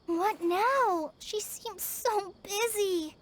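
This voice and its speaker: A woman's voice: very high-pitched, drawn-out words, a bit bright, a little hiss or hum, full character voice. A woman voicing an earnest, timid young student girl in a video game, thinking aloud in a hesitant, sincere way. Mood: worried. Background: music.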